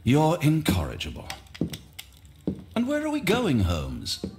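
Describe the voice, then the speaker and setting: Exasperated Victorian gentleman sidekick, English accent, slow drawn-out words, hugely swinging intonation, video game, loud noise behind. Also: fairly high pitch, wildly swooping pitch, full character voice. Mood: bemused. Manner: incredulous, questioning. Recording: loud background noise with clicks.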